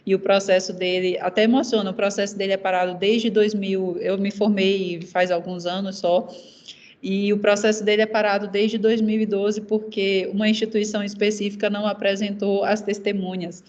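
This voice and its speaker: Earnest young woman from Maranhão, flat delivery. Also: mid-range voice, muffled recording. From Maranhão, Brazil. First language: Zé'eng eté (Guajajara language). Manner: earnest, halting, many repeated fillers. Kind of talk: personal testimony in a panel talk.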